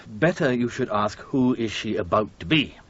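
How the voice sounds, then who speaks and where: An actor playing a harassed, evasive publishing editor in a radio drama, stammering and exasperated. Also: male voice, lowish voice, animated, a little slow, fairly bright tone, slightly rough, heavily acted. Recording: muffled, noisy 1980s radio recording.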